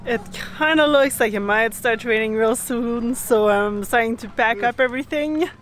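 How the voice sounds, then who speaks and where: A woman's voice: on the high side, moderate pace, slight background noise. A woman in her thirties chatting casually as she explains boat repair work outdoors in a boatyard, recorded on a camera mic. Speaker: sailing vlogger. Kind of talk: casual vlog narration.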